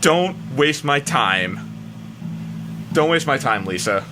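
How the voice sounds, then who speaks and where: A man's voice: fairly high pitch, lively intonation, takes it slowly, music in the background. A man voicing a pompous, demanding rock singer in a grandiose, emphatic comic dramatic reading. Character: pompous, demanding, self-important male rock singer writing an ad.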